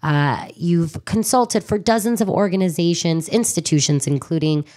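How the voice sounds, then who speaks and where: A woman's voice: lowish voice, moderate pace, darkish tone. A woman hosting a radio interview in a chatty, informal, effusive way, often breaking off mid-thought, recorded in a studio. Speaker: radio show host. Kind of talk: chatty interview, partly reading a bio.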